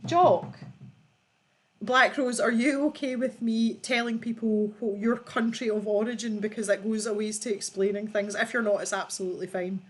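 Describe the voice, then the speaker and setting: A woman's voice: fairly high pitch, steady tone, normal speed, a little hiss or hum. A woman hosting a casual livestream, reading out viewer jokes and laughing often as she chats. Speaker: livestream host.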